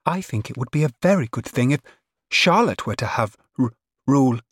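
A brooding teenage girl from a period novel, performed by a man. The voice is blunt and serious, quivering on a slight stammer, and only moderately raised from his natural pitch. The sound is dry. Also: expressive, extremely slow, sharp tone, breathy edge, no echo, exaggerated voice. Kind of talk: dramatised period novel narration.

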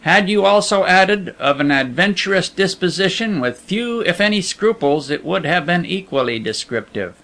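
A man voicing a poor, unscrupulous male adventurer in a storybook reading, speaking calmly and politely with cool assurance. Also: sits high, normal speed, few pauses, pitched above the performer's own voice.

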